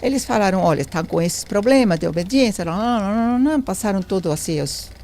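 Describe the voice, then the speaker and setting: A woman's voice: mid-range voice, lively intonation, brisk, darkish tone. A woman in her seventies, not a native speaker of the language, telling her story as a podcast guest and acting out quoted dialogue. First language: not Portuguese. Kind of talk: storytelling in a relaxed interview.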